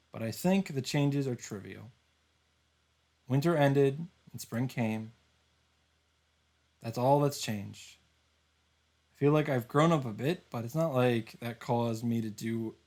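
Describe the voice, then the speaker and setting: A man's voice: mid-range voice, unhurried, long pauses, a little mellow. A man reading visual novel narration aloud as a streamer, with informal, casual reactions. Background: game music.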